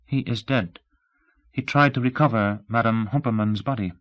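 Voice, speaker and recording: A man's voice: medium pitch, moderate pace, dull audio, voice pushed up, natural voice. A candid young woman, as a male narrator puts her on. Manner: poised and blunt, asks pointed questions. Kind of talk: audiobook character voice.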